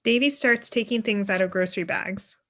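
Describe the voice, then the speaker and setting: A woman's voice: medium pitch, fairly quick, a bit bright. A young woman reading scripted stage directions as narrator on a video call. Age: young adult.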